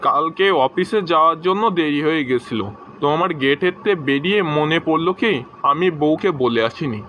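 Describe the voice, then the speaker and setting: Fairly high male voice, hapless cartoon everyman acted by a man, chatty comic dubbing, faint background noise. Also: animated, normal speed, muffled recording, lightly acted. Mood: joking. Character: hapless cartoon husband and joking buddy. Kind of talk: comic cartoon dubbing in short joke skits.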